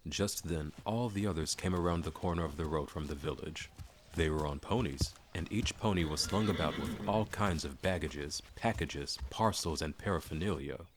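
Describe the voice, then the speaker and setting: A man's voice: rumbling low, moderate pace, noisy background. A man narrating a classic fantasy story as a dramatic audiobook reading.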